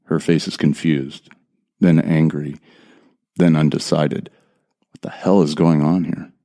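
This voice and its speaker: A man's voice: rumbling low, steady tone, unhurried, dark tone. An actor playing a tense, bitter man who narrates his horror ordeal in a brooding, profane first person. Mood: uneasy. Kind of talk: scripted audio drama narration. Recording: music behind the voice.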